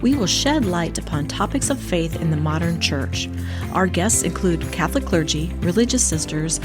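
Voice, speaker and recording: A woman hosting a podcast in an upbeat, welcoming way, with a few slips. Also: fairly low pitch. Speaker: podcast host.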